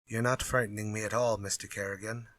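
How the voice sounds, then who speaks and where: Guy doing a cocky old-time radio writer, bright forceful voice, flippant, real dry sound. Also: fairly low pitch, flat delivery, quick pace, dry recording, close to an everyday voice. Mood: amused defiance. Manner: flippant, old-fashioned phrasing. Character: glib, unafraid male writer sparring with his villain. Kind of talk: retro radio drama acting.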